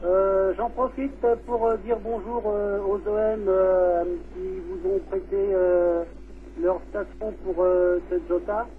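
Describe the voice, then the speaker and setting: High-pitched man over tinny radio. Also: level tone. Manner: procedural radio phrasing with hesitant pauses. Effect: radio transmission. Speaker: scout leader and radio operator. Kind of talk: amateur radio conversation. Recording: tinny, noisy amateur radio link.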